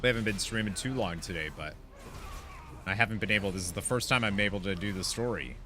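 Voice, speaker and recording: Low, bright, animated male game streamer. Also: quick pace. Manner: animated, informal, reacts off the cuff. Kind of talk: casual livestream gameplay commentary.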